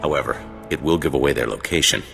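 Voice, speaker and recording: An actor playing an analytical military adviser in a video game, giving a matter-of-fact briefing. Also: male voice, a bass voice, fast, vocal fry. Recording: reverberant room with music.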